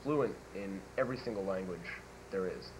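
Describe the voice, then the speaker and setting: A teenage boy playing a college admissions officer in a school TV sketch, answering everything in a flat, matter-of-fact way. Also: low-pitched, moderate pace, lightly acted. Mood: deadpan. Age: teens. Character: deadpan absurd male college admissions officer. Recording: noisy 1980s school videotape.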